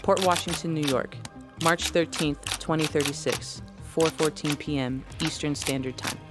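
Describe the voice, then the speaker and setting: A man's voice: a little high, steady tone, moderate pace, music in the background, natural voice. A man playing a formal announcer who reads out scene locations and times for an audio drama. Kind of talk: scripted announcement.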